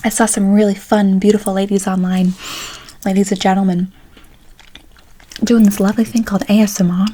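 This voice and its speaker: A soft-spoken woman doing an ASMR roleplay as a folksy, chatty late-night barber, often slipping into a whisper. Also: mid-range voice, flat delivery, brisk, long pauses. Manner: folksy, chatty, calls listener pet names. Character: chatty, tired late-night female barber, sweet and sassy.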